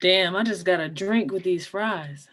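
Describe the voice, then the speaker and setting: A woman's voice: on the low side, unhurried, fairly bright tone, a little hiss or hum. A woman playing a laid-back, teasing friend who talks in casual slang, in a comedy table read.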